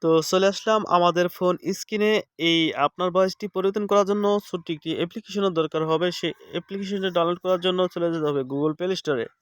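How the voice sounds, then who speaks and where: A man's voice: sits high, steady tone, fast talker, a bit bright, smooth, dry recording, studio quality. A man hosting a step-by-step screen tutorial. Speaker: tech tutorial host.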